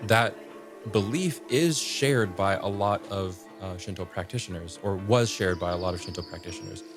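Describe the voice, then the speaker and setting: A man with a low voice talks through ideas as a podcast co-host. He explains casually and haltingly, circling back on words, over clearly audible music. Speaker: podcast co-host discussing folklore.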